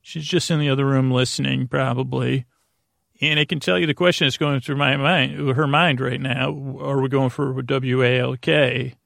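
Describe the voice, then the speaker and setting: A man hosting a bedtime podcast in a casual, rambling monologue, halting and drifting off into tangents, with a creaky voice. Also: medium pitch, steady tone, fairly bright tone, no echo, studio quality. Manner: meandering and halting, trails off into tangents. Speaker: podcast host.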